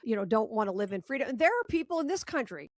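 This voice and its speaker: A woman host interviewing a guest, her voice pitched a little above most women's. She makes her points emphatically in long, run-on sentences. The sound is close and dry, with no room echo. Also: big pitch swings, fast. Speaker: talk show host.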